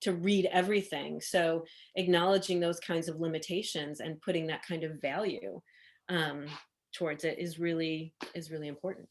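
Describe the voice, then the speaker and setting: A woman with a fairly low voice leads a workshop over a video call. She talks in a casual, hesitant way, with fillers and restarts along the way. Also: steady tone, normal speed. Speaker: workshop facilitator.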